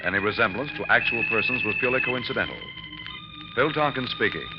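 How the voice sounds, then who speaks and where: A man's voice: medium pitch, wildly swooping pitch, fast talker. A man announcing credits formally and emphatically in the style of 1950s radio. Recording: muffled old radio, loud music, noise.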